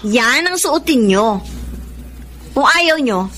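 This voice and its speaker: An actress with a Filipino accent playing an earnest young student daughter, pleading and scolding in a Tagalog radio drama. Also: female voice, high-pitched, expressive, slow pace, pushed and bright, a little hiss or hum, full character voice. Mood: exasperated. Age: young adult.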